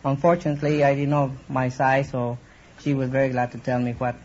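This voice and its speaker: A man's voice: mid-range voice, level tone, brisk. A man from Mexico giving joking answers as a contestant on an old radio quiz show. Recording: muffled old radio broadcast, noisy loud studio audience.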